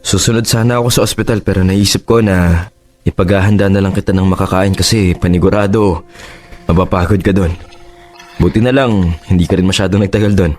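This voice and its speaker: An actor in a Tagalog radio drama playing a protective, earnest young husband with a tender manner. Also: male voice, low voice, steady tone, brisk, darkish tone, close to an everyday voice. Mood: caring. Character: devoted, protective young husband, apologetic and reassuring.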